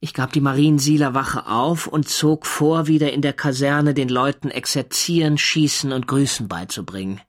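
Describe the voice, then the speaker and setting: An actor plays a wartime sailor narrating his own diary in a radio drama. The voice is mid-range for a man. He speaks in measured literary sentences, observing everything with dry wit. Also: medium pitch, close to an everyday voice. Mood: measured, wistful. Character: diarist, male navy sailor recounting wartime service.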